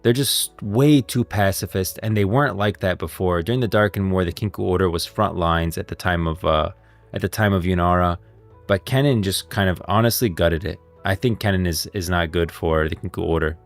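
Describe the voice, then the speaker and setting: Male streamer, very low voice, casual and rambling lore commentary over faint background music. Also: creaky. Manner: rambling, thinking aloud, pauses to read out lines.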